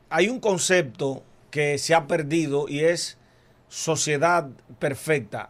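A male priest calmly explaining church law as a guest on a talk show, step by step. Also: fairly high pitch.

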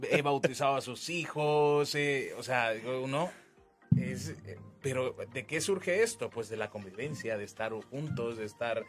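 A man's voice: mid-range voice, unhurried. A man, speaking as a priest in an informal religious conversation, emphatic and repeating phrases as he explains. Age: 20s. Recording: music under the talk.

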